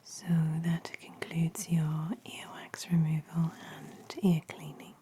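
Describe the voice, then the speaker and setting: Slow, whispering woman in soft ASMR. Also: sits low, completely flat delivery. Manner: gentle, soothing, unhurried. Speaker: ASMR host playing an ear-care practitioner. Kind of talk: soft-spoken ASMR roleplay.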